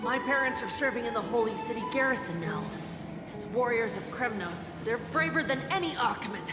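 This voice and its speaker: An actress voicing an excited, proud little child in a video game, animated and admiring. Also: female voice, a little high, a little fast, natural voice. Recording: reverberant hall echo, music. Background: game music.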